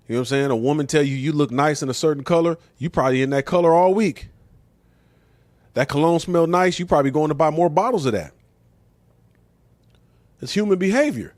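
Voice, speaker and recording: A man's voice: on the high side, no echo. A middle-aged American man talking casually and bluntly as a show host, giving opinions in slangy, conversational speech with rhetorical questions. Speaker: comedian and talk show host.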